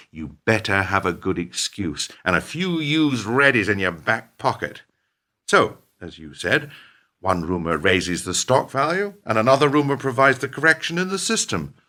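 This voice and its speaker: Ruthless middle-aged businessman for radio play, male actor, smug and speechifying, very animated delivery, sharp-edged tone. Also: medium pitch. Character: ruthless, self-justifying businessman betraying his lifelong partner.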